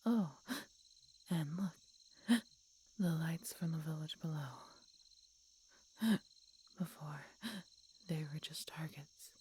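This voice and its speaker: A woman playing an ancient, injured female dragon in an audio roleplay, speaking tenderly and haltingly in a breathy whisper, close to the listener. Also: low-pitched, steady tone, dark tone. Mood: gentle, content. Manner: slow, halting, tender delivery with long pauses. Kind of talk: audio roleplay acting, addressed intimately to the listener. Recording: clear background noise, night insects. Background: night ambience with crickets.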